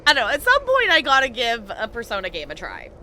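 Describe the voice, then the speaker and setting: A woman chatting casually and animatedly on a game livestream, halting mid-thought as she goes. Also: on the high side, huge pitch swings, pushed and bright, a little hiss or hum. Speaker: video game live streamer. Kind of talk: casual livestream chat over gameplay.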